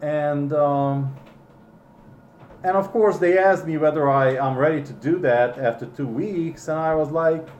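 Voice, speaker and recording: A middle-aged man who is not a native English speaker, with a foreign accent, recalling his past slowly and hesitantly, with many ums and restarts. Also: fairly high pitch, a little mellow, echoey room, dull audio. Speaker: interviewee. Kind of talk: casual recollection.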